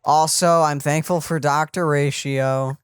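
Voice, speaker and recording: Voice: medium pitch, steady tone, measured pace, no room sound, studio quality. A gaming co-commentator chatting casually in an informal, offhand way. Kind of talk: casual chat. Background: clear game music.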